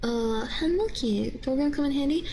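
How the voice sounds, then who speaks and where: A woman streamer chatting casually and hesitantly with her live viewers in a soft-spoken, giggly way. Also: sits high, moderate pace, slight background noise. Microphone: binaural ASMR microphone.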